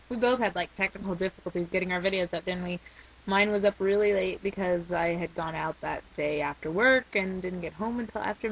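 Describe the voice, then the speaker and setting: Chatty young woman, voice going hoarse. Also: mid-range voice, fairly bright tone, slight background noise. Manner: chatty and rambling. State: losing her voice. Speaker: vlogger. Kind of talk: casual video diary. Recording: slightly dull lo-fi recording.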